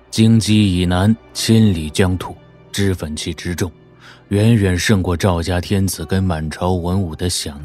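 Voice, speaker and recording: Very deep, grave swordsman-scholar. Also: a little mellow. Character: grave, skeptical male Confucian master swordsman, middle-aged. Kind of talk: Chinese wuxia audio drama acting.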